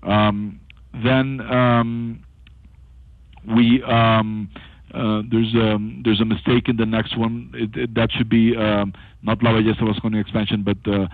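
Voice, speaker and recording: A man presenting as a company executive on a conference call, speaking haltingly with frequent long filled pauses over a telephone. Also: on the low side, flat delivery. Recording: thin, narrow-band telephone conference line.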